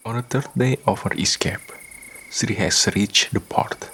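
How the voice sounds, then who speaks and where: A man narrating a scripted radio drama in measured, dramatic short phrases. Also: lowish voice, a bit bright, slightly rough.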